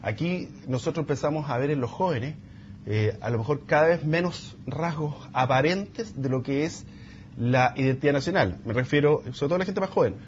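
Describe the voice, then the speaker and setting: A man's voice: a little high, normal speed. A man with a Chilean accent hosting a panel discussion and putting probing questions to his guests. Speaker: host. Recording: dull sound with faint background noise.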